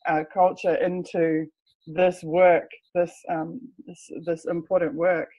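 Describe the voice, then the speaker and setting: A woman's voice: lowish voice, level tone, takes it slowly, slightly rough, lo-fi sound. A woman from New Zealand with a strong New Zealand accent, interviewing in a casual, earnest way that turns emotional and repeats words.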